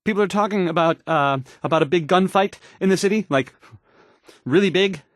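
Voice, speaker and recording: A man's voice: sits high, fairly quick, no room sound, clean studio sound, close to an everyday voice. An actor playing a nervous, awkward young male radio DJ in a game, stammering and hesitating through the news, rambling and unsure of himself. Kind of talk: fumbled radio news report in a video game.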